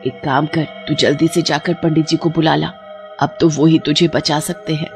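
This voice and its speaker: A man's voice: fairly high pitch, little pitch movement, moderate pace, over music, close to an everyday voice. Man acting an anxious, scolding village mother. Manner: alarmed, scolding. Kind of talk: animated folk tale acting.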